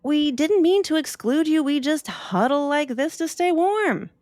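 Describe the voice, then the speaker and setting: A woman's voice: sits high, lively intonation, unhurried, a little mellow, no echo, studio quality, voice pushed up. A woman doing apologetic huddling penguins. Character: huddled group of friendly penguins.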